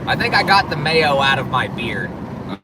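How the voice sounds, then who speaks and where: A man's voice: medium pitch, very animated, moderate pace. A man in his early thirties chatting casually on a live stream, joking and laughing often as he reads comments. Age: 30s. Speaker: co-host reading live chat. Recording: outdoor live stream, noisy, mic at a distance.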